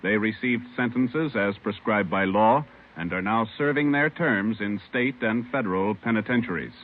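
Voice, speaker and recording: Low-voiced formal American radio announcer man. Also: normal speed. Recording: tinny old radio broadcast, music behind.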